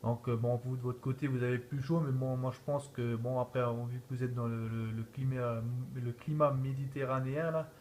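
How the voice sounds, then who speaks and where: A man's voice: mid-range voice, steady tone, brisk, few pauses, a little mellow. A man chatting casually as an amateur radio operator, in an easygoing way with frequent repeated tag words. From France. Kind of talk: casual radio contact chat.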